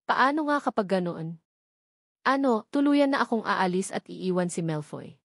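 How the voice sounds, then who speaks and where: A woman's voice: mid-range voice, lively intonation, normal speed, vocal fry, no echo, clean studio sound. A woman voicing a heartbroken, plaintive young wife in a novel reading. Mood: anguished, anxious. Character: heartbroken young wife missing her husband.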